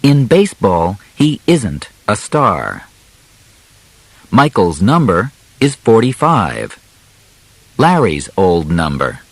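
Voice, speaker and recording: Male audiobook narrator, lowish voice with lively intonation, measured short phrases, simple scripted read. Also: dry recording.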